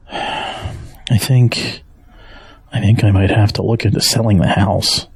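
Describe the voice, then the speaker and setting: Man playing a husky, worried middle-aged dad. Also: lowish voice, steady tone, slow, heavily acted. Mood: worried, weary. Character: worried, gentle middle-aged father caring for his ailing wife. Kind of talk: audio drama acting.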